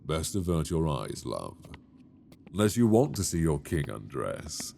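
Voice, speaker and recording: A man voicing a possessive, teasing dragon king in human form in an ASMR audio roleplay, speaking slowly and intimately into a binaural mic. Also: big pitch swings, a little hiss or hum, exaggerated voice. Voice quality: deep, gravelly. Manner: slow, intimate, teasing, soft-spoken.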